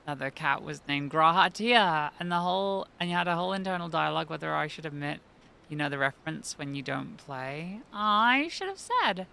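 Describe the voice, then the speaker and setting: A woman streaming a video game live, chatting and reacting excitably to the game. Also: low-pitched, moderate pace. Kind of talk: live gameplay commentary. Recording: gravelly voice over clear game music.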